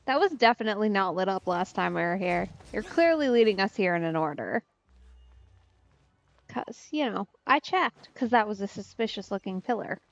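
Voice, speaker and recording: A woman's voice: medium pitch, expressive, moderate pace, creaky. A woman giving casual let's play commentary, musing to herself in loose asides as she plays. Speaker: streamer, let's play host. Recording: slightly dull, dry close recording.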